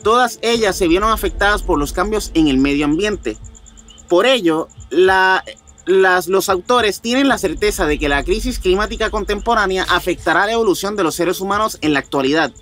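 A man's voice: sits high, expressive, normal speed, a bit bright, soft background music. A man hosting a podcast, reading reports aloud and chatting informally with frequent question tags. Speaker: podcast host.

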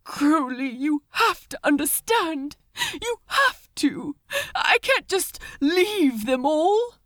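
A woman plays an anguished male angel in a dramatic story reading, gentle, selfless and stubborn. The voice is extremely high and swings expressively, slow and tenderly imploring. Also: big pitch swings, no room sound, studio quality, heavily acted. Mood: distraught pleading. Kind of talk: dramatic fan fiction audiobook dialogue.

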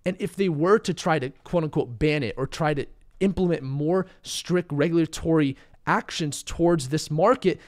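A young man, a little hoarse and under the weather, confidently walking viewers through market charts in a casual commentary. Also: on the high side, moderate pace, a little mellow.

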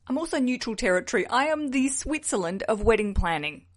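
A woman's voice: a little high, expressive, brisk. An Australian woman hosting a podcast alone, chatty, jokey and candid. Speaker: podcast host. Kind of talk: solo podcast chat.

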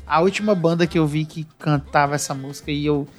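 A man's voice: on the high side, a little fast, soft background music. A man, chatting enthusiastically as a podcast co-host. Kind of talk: casual chat.

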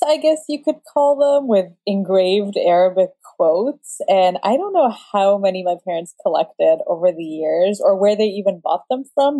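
Mellow-voiced Canadian woman co-host. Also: medium pitch, dry recording. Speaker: podcast co-host. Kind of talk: casual podcast chat.

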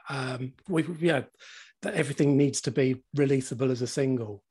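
A man in his forties with a slightly croaky voice, co-hosting a casual podcast chat, laughing often and swearing freely. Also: medium pitch, fairly quick, mellow. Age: 40s. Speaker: podcast co-host.

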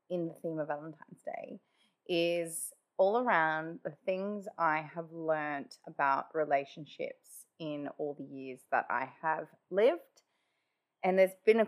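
A woman hosting her own podcast in casual, chatty talk, with a nasally, congested voice after just waking up. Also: sits low. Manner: chatty, many "like" fillers. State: just woken up. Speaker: podcast host. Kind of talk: casual solo podcast chat.

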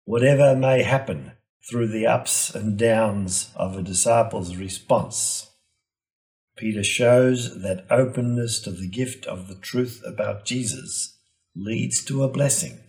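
A man delivering a scripted, reflective homily in a measured, solemn and deliberate manner. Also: fairly low pitch, darkish tone, low-quality recording. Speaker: preacher giving a Gospel reflection.

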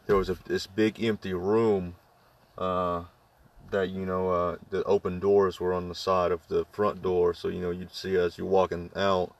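A man with a deep voice telling a spooky personal story to friends, halting with many fillers and restarts. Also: recorded from a distance. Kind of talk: casual ghost story among friends.

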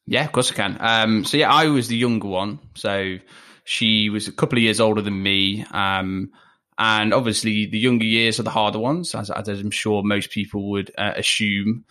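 Twenties guy, Leicester accent, a touch low in pitch, rambling guest with filler-heavy, self-mocking chat. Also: fairly even intonation, normal speed, pushed and bright. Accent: Leicester English. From England. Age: 20s. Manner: rambling, full of ums and self-deprecating asides. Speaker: podcast guest. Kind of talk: casual interview chat. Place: home, remote call.

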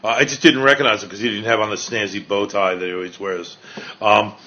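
A man's voice: on the low side, steady tone, fast, sharp tone. A man with a New York accent, chatting loosely with strangers and recapping on video. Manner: chatty, loose, rambling with fillers. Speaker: street video creator. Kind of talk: casual street chat and vlog recap. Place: outdoors in a city park. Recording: dull lo-fi street recording.

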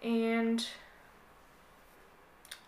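A woman's voice: a little high, monotone, very slow, darkish tone. A young woman chatting casually as a podcast guest, in an informal, conversational way. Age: young adult. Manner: informal, frequent "like". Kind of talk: casual chat.

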